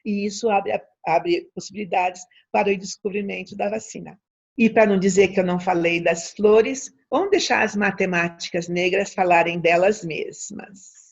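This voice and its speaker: A Brazilian woman with a husky, gravelly voice gives an informal science lecture. She is emphatic and theatrical, with an ironic edge. The recording is dull and lo-fi. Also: mid-range voice, fairly even intonation, moderate pace. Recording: dull, lo-fi audio.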